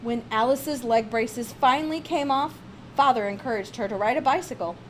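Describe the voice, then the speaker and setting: A woman reads a picture book aloud in a fairly high voice. Her phrasing is expressive and emphatic, suited to children's narration. Faint noise sits behind her in the recording. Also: moderate pace.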